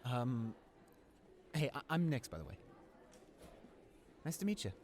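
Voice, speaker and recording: A young man playing a friendly, easily flustered high school senior in a roleplay audio drama, with an awkward, rambling, hesitant way of talking. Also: big pitch swings, a little slow, a little hiss or hum. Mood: shy, friendly. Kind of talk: roleplay audio drama, casual conversational acting.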